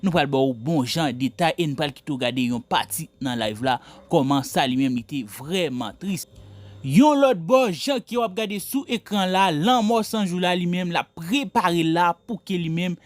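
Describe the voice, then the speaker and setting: A man with a Haitian Creole accent delivers news commentary in an emphatic, repetitive way. His voice sits a little high and the sound is dry and close. He talks like a show host. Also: expressive, normal speed, no echo.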